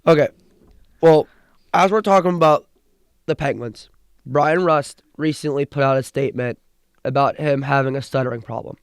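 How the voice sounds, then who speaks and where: A man's voice: medium pitch, normal speed, pauses often, clean tone, dry recording, studio quality. A young man who stutters, co-hosting a casual sports podcast in an earnest way, often restarting and repeating words. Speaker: podcast co-host.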